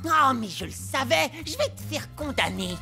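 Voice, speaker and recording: Snarky young cartoon critic, highish voice, dry and scolding, loud music behind. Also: female voice, very animated, normal speed, gravelly, heavily acted. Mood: reproachful. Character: blunt, scornful young critic. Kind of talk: animated cartoon dub.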